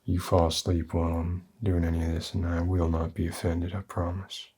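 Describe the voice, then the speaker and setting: Male performer as gruff, weary middle-aged survivor dad, drawl, very low and husky, soft ASMR roleplay delivery. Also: monotone. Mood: tender, hesitant. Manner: slow, halting, gentle drawl.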